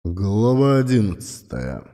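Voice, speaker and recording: A man's voice: lowish voice, very animated, a little slow, warm tone, no echo, studio quality. A man reading a historical adventure audiobook as scripted narration.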